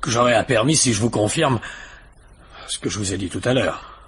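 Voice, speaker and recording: A man's voice: lowish voice, brisk, a bit bright, breathy edge. A man playing a nervous, reluctant ex-convict in a radio drama, hesitant, stammering and slangy. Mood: resigned. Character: nervous, reluctant small-time male ex-convict under questioning. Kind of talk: 1950s French radio drama acting.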